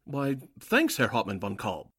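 A man's voice: fairly low pitch, wildly swooping pitch, a little slow, background noise. A man acting a deferential, uneasy underling, a newspaper editor who is secretly an agent, in 1940s radio drama style with an earnest, hesitant manner.

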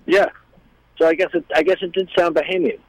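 A man chatting casually as a guest, explaining things in a rambling, halting way. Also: on the high side, steady tone, poor audio. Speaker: guest, musician. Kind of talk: casual chat.

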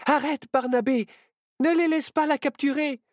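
A man voicing a brave, earnest child in a children's storybook, speaking in an excitable, exclaiming way. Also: mid-range voice, normal speed, no echo, clean studio sound, pitched above the performer's own voice, close to an everyday voice. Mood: anxious. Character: brave, earnest young boy and his anxious child friends.

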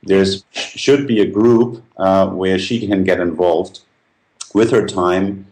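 A man's voice: low-pitched, steady tone, slow, a little mellow, low-quality recording. A man giving explanatory interview answers, earnest and feeling his way through his phrasing. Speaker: company founder being interviewed.

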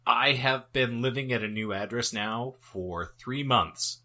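Bright-voiced man telling dry stories. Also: mid-range voice, unhurried. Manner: dry, joking storyteller. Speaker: podcast co-host. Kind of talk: casual comedic chat.

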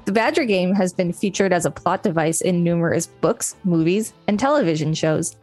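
A woman's voice: on the low side, normal speed, darkish tone, faint music behind. A woman co-hosting a podcast, reading an article aloud with casual asides. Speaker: podcast co-host.

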